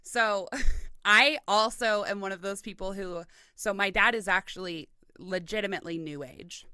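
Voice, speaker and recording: Bright-toned woman podcast host. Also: mid-range voice, normal speed, smooth, dry recording. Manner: enthusiastic, affirming, chatty. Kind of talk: casual interview conversation.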